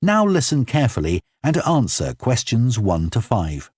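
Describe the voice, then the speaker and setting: A man reading scripted exam instructions in a formal, measured announcing manner. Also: mid-range voice, huge pitch swings, a little mellow, breathy edge, dry recording, studio quality. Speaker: exam narrator.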